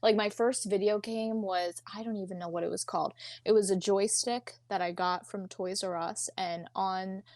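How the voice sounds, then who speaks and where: Female podcast guest, 20, Philadelphia accent, informal and jokey chat, mid-pitched, very dry sound. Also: steady tone, moderate pace, hardly any pauses, darkish tone, no room sound. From Philadelphia area and South Jersey, United States. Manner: informal, slangy, joking. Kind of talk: casual chat.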